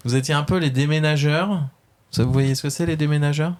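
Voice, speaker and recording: A man's voice: a little high, brisk, darkish tone. A man with a French accent hosting a casual webradio interview, hesitant and full of fillers but friendly.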